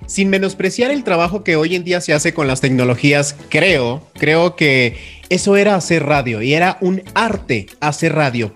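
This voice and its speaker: A man's voice: fairly high pitch, a bit bright. A man hosting a conversational panel about his craft. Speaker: host.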